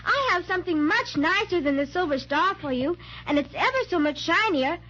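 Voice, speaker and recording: A woman's voice: very high-pitched, lively intonation, fairly quick. A woman playing a sweet, earnest, polite little girl in a 1930s radio drama. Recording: muffled, faintly noisy 1930s radio recording.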